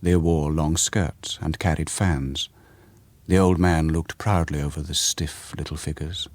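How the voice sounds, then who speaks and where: A man reading a short story aloud as radio narration, in a measured, quiet storytelling manner. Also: extremely low pitch, steady tone, no room sound. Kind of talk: scripted narration. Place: radio studio.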